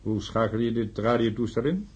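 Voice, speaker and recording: An actor playing a sceptical, practical man who calms his companions in a Dutch radio drama. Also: mid-range voice, normal speed, breathy edge, highs cut off. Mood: brisk, practical. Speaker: character in a radio play.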